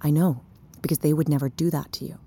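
A woman's voice: low-pitched, steady tone, quick pace, dark tone, breathy edge. An actress playing a blunt, wry but caring woman in an audio drama, talking in a naturalistic, teasing way. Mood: gentle, frank.

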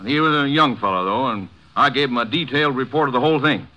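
A man's voice: medium pitch, fast talker, bright, forceful tone, close to an everyday voice, rough recording. An actor in a 1950s radio drama playing an indignant, folksy working man who blusters in plain rustic grammar, on an old radio recording.

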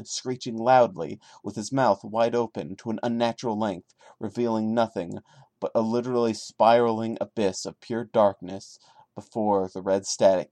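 A man's voice: on the low side, mellow. A man giving a dramatic reading of a horror story as a podcast host, breaking into exasperated, sarcastic comic commentary. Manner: sarcastic, stammering asides between solemn narrated passages.

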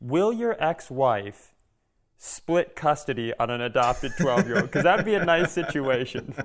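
A man's voice: medium pitch, expressive, a little slow. A man co-hosting a podcast, chatting casually and candidly in a rambling way with joking, profane asides. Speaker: podcast co-host. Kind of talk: casual comedic chat.

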